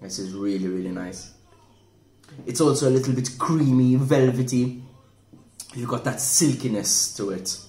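A man's voice: mid-range voice, normal speed, pauses often. Man reviewing wine in an echoey room. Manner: relaxed, thoughtful, with many repeated words and restarts. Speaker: wine shop manager reviewing wine. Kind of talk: casual wine tasting review. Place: indoor room at a table. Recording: echoey room with faint noise.

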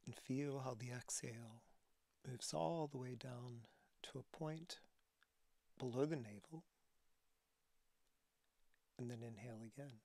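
A man leading a guided relaxation as a yoga teacher, speaking calmly and softly with breathy phrases and long pauses. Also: mid-range voice, dry recording. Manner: calm, soothing instruction.

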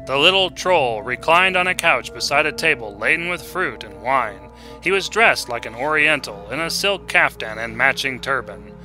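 A man narrating a short story in a dramatic, scripted style. His voice is very bright and forceful at a medium pitch, with music playing underneath. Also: animated, moderate pace.

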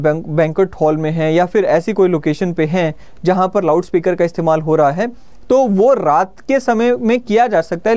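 A man's voice: high-pitched, darkish tone. A man in his thirties with an Indian accent, explaining like an exam-prep teacher in a conversational lecture, often rephrasing his points. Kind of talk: explanatory lecture mixing Hindi and English. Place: indoor studio in front of a presentation screen.

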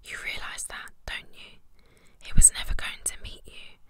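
A woman's voice: normal speed, pushed and bright. A woman voicing a bossy schoolgirl who scolds in a whisper, from a storybook reading. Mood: scolding.

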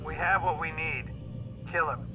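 A man's voice: a little high, moderate pace, natural voice. A man playing a cold, ruthless boss in a sci-fi video game, giving curt commands. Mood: cold, commanding. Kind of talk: video game cutscene acting. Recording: distant, thin phone-like sound, music.

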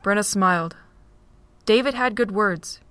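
A woman's voice: mid-range voice, unhurried, a bit bright, no room sound. A woman narrating a fan-made audio drama, reading steadily.

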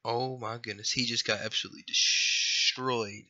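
A man's voice: mid-range voice, steady tone, moderate pace, pushed and bright, muffled recording. A young man with a scratchy voice after being sick, rambling and apologizing through casual gameplay commentary. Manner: rambling, apologetic, lots of fillers. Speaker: gaming youtuber.

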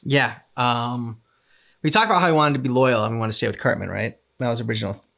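A man's voice: mid-range voice, quick pace, creaky, fairly bright tone. A man in his thirties chatting casually as a reaction video host. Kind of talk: casual chat. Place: living room couch, indoors.

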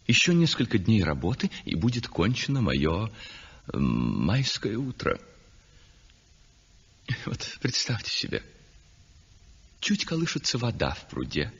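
An actor playing a self-satisfied, dismissive painter in a 1960s radio drama, declaiming with rhetorical self-assurance. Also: male voice, fairly low pitch, huge pitch swings, unhurried, long pauses, slightly rough, exaggerated voice. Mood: dreamy, pleased. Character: self-satisfied aesthete male landscape painter, dismissive of ugly art. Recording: muffled 1960s radio recording, long reverb.

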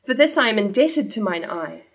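A woman playing a measured, thoughtful young nobleman in a staged reading of verse drama. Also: medium pitch, very animated. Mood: measured, admiring.

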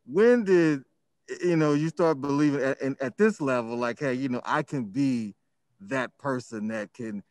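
Halting male reporter, dry sound. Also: mid-range voice, darkish tone, dry recording. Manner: halting, restarts his questions. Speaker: sports reporter.

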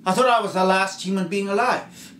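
A man playing a haunted, suspicious lone male survivor in an amateur film scene, speaking in hushed, intense tones that slip into whispers. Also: sits high, a little fast, roomy sound, a little hiss or hum, voice pushed up. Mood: brooding.

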